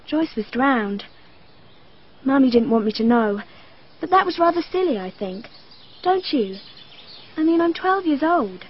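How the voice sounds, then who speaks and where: A woman's voice: high voice, lively intonation, measured pace, long pauses. An actress playing a precocious, dreamy twelve-year-old girl who speaks in an earnest, matter-of-fact and slightly odd way. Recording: dull lo-fi archive radio, faint hiss.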